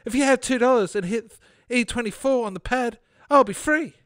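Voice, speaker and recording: A man's voice: extremely high pitch, moderate pace, no room sound, clean studio sound, voice pushed up. A man voicing a tiny, foul-mouthed, indignant fat man in a comic story, with a bad put-on Irish accent. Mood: pleading. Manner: sweary, pleading and shouting. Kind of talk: comic short-story reading.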